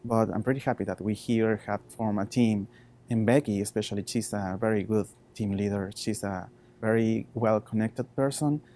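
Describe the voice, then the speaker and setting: A man in his thirties with a Chilean Spanish accent, a researcher talking informally about his work in halting non-native English. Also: lowish voice, steady tone, dark tone. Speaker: postdoctoral researcher.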